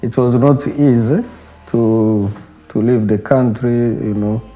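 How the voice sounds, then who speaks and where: A young man with a Congolese accent and Swahili as his mother tongue, speaking haltingly and reflectively in English, often repeating phrases. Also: mid-range voice, mellow. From Democratic Republic of the Congo. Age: young adult. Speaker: Benedictine monastic brother, interviewee. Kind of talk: reflective personal interview. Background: background music.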